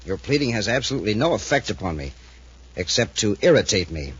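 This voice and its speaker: An actor playing an arrogant, calculating criminal genius in a 1950s radio adventure, with a smug, commanding and coldly impatient manner. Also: male voice, lowish voice, sing-song, fast, breathy edge. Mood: cold, menacing. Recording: muffled 1950s radio recording.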